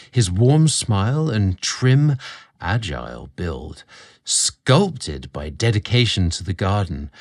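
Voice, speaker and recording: A man narrating a scripted romantic story in a dramatic, expressive storytelling manner. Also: deep voice.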